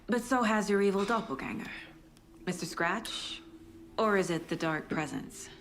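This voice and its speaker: An actress playing a composed female investigator who questions a witness briskly, recapping the facts point by point. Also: medium pitch, a bit bright, a little hiss or hum, natural voice. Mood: probing.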